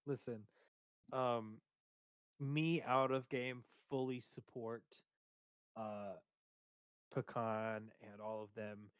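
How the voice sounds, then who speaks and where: A man with a booming voice chatting casually on a stream, rambling and hesitant, with many fillers and restarts. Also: level tone, slow pace, long pauses, clear, resonant tone, no room sound. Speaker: streamer discussing his game character. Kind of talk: casual chat.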